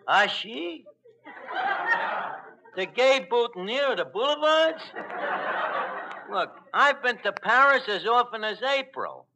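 A man's voice: very high voice, lively intonation, slow, pauses often, heavily acted. A man playing a flirtatious, boastful wise guy in a 1940s radio comedy, with hesitant, mangled patter. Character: bluffing, flirtatious male tavern wise guy mangling French. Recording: noisy old radio recording with clicks.

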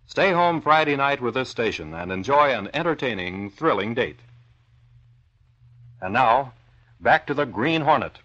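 A man's voice: medium pitch, animated, measured pace, long pauses, a bit bright. A man announcing and narrating in a dramatic, declamatory 1940s radio style. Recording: muffled old radio recording.

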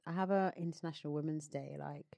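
Chatty woman, low and dry. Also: steady tone, vocal fry, dark tone, dry recording. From London. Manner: chatty, laughs often, drawn-out storytelling. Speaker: podcast co-host and DJ. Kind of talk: casual chat.

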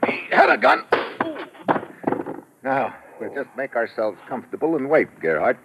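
An actor playing a sardonic, hard-boiled American investigator in a 1950s radio drama, wry and clipped. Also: male voice, medium pitch, wildly swooping pitch, moderate pace, vocal fry, background noise. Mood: coolly taunting. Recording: dull old radio recording.

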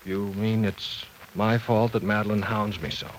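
A man's voice: fairly low pitch, steady tone, normal speed, close to an everyday voice, poor audio. An actor in a vintage radio soap playing a timid, bookish professor who stammers mildly and hesitates as he talks. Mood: unsure. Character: timid, bookish male professor, baffled by women. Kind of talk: 1940s-50s radio soap acting. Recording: old radio recording, faint noise.

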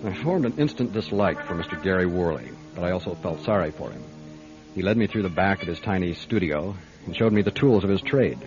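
An actor playing a wary, dry-humored private detective in a radio drama, with a measured, probing delivery. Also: male voice, sits low, animated, music in the background. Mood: dry, narrating. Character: gruff, wary male private detective who narrates. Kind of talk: radio drama acting and first-person narration. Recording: dull lo-fi radio drama recording.

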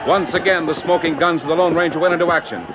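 A man's voice: a little high, steady tone, quick pace, noisy background, lightly acted. A man narrating an old-time radio western adventure in an emphatic, dramatic storytelling style. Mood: dramatic, urgent. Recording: muffled old radio recording, music behind.

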